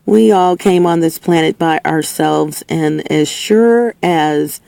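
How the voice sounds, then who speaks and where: A woman hosting her own opinion segment, talking in an emphatic, preachy way and often restarting and repeating herself. Her voice is slightly hoarse and lower than usual. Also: slow pace, dark tone, dry recording. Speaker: host of a spoken opinion segment. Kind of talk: casual opinionated monologue. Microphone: speaking into a recording setup with a level meter.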